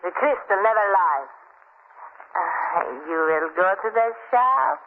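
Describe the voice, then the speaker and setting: An actress playing a sly, greedy woman fortune teller in an old-time radio drama, theatrical and mysterious with halting phrasing. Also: medium pitch, lively intonation. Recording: tinny, noisy old radio recording.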